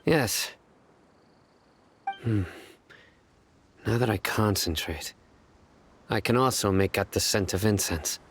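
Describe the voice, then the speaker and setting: An actor playing a stern, stoic young lawman in a video game, speaking in a terse, measured, deadpan way. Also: on the low side, breathy edge. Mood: analytical, calm.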